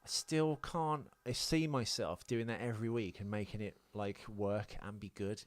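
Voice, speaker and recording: A man's voice: on the low side, moderate pace, no echo. A man co-hosting a casual podcast, chatting off the cuff in a sweary, self-deprecating, rambling way. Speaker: podcast co-host. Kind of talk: casual unscripted podcast banter.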